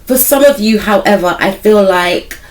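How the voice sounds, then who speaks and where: A woman reads tarot for her viewers in a loose, conversational way, her voice a little croaky from a cold. She wanders from point to point and keeps softening each claim with what she feels. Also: mid-range voice, normal speed, poor audio. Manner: rambling, hedged with "I feel like"; speaks directly to viewers as "some of you". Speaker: tarot reader and spiritual life coach. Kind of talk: casual intuitive tarot reading to viewers.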